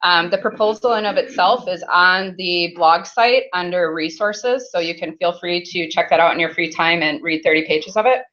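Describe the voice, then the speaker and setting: A woman's voice: lowish voice, moderate pace, hardly any pauses, a bit bright, muffled recording. A woman opening a conference workshop, chatty and casual with many ums, speaking into a handheld mic in a meeting room. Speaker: conference session host. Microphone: handheld mic with faults.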